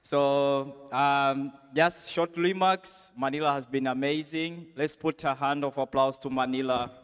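A man's voice: medium pitch, steady tone, unhurried, clean tone. A man moderating a conference session, upbeat and full of fillers as he coaxes the crowd, on a handheld mic in a large hall. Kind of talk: casual event moderating.

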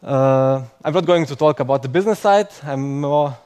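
A man's voice: fairly high pitch, moderate pace, darkish tone, smooth. Self-mocking male conference speaker, non-native. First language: not English. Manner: chatty, self-mocking, many "okay?" tags. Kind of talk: conference talk to a live audience.

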